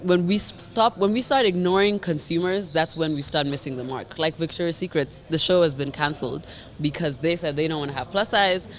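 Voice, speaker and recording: A young Kenyan woman chatting as a podcast guest, lively and quick to laugh. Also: sits low. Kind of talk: casual interview chat.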